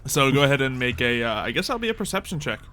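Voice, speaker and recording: Bright-voiced man as game master. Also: mid-range voice, sing-song, fast talker, slight background noise. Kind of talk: improvised tabletop game narration.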